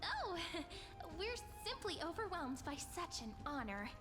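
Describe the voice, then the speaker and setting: An actress playing a sweet, perceptive young girl companion in a fantasy game, with gentle, courteous and slightly wry phrasing. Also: very high voice, big pitch swings, measured pace, full character voice. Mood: wry, polite. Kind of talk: scripted fantasy game voice acting. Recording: game music loud behind.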